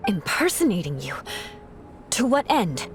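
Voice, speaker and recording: A woman's voice: mid-range voice, very animated, a little slow, music in the background. A woman voicing a haughty, stern young female knight in a video game, formal and theatrical in her delivery. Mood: sceptical.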